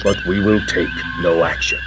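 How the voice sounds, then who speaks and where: A man's voice: deep voice, steady tone, moderate pace, over loud music, lightly acted, poor audio. Man playing haughty, menacing Klingon commander. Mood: imperious. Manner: formal, imperious, coldly polite. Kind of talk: scripted sci-fi game voice acting.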